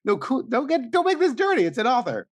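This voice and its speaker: Wry, animated man co-hosting a podcast. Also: extremely high pitch, fast. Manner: wry, bantering. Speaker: podcast co-host. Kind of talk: casual chat.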